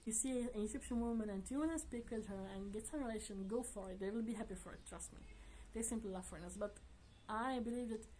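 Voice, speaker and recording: A woman vlogger chatting casually to her viewers in earnest, non-native English, often laughing softly. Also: a little high, quick pace, few pauses, low-quality recording. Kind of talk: casual chat to camera.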